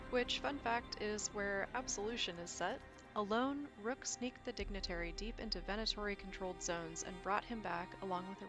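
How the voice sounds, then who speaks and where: Female video creator, mid-range voice, for conversational, keen lore commentary with music clearly underneath. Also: mid-range voice. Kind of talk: informal scripted video commentary with asides. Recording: music behind the voice. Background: background music.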